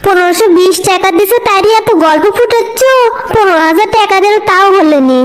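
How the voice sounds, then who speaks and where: A woman's voice: extremely high pitch, brisk. A woman voicing a blunt, skeptical young girl in a comic cartoon, scoffing and questioning in a northern Bangladeshi accent. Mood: scoffing, demanding. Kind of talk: comic cartoon dialogue in rural dialect.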